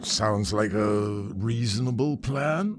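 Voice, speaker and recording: An actor playing an earnest, protective hero in a fantasy video game, in a conversational manner. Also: male voice, on the low side, slow, noisy background. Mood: mild, reassuring. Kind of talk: video game cutscene acting.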